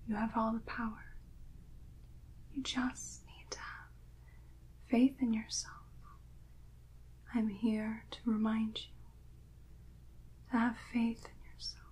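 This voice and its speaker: A woman's voice: fairly high pitch, flat delivery, darkish tone, husky, rough recording. A woman playing a serene, encouraging mystic priestess from a tarot deck, repeating reassurances in a whispered ASMR roleplay. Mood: serene, reassuring. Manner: slow, repetitive, reassuring.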